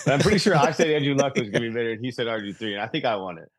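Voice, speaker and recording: A man's voice: mid-range voice, expressive, rapid-fire, dry recording. A man talking as a podcast guest in a casual chat about sports.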